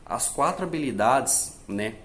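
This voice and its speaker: A man's voice: medium pitch, animated, a bit bright. A young Brazilian man vlogging language-learning tips in a chatty, casual way, speaking English with a Brazilian accent in parts. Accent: Brazilian Portuguese. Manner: chatty, fillers like né and tá, switches to slow careful English. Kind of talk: casual direct-to-camera tips.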